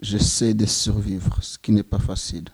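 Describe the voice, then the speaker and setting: A Rwandan man in his twenties giving solemn, measured testimony as a genocide survivor. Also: lowish voice, level tone, a little mellow, breathy edge, no room sound. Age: 20s. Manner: slow, grave, measured phrasing.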